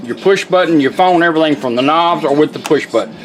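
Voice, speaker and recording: A man's voice: a little high, fast, poor audio. A man giving a casual hands-on product demonstration in a plain-spoken, folksy, step-by-step way.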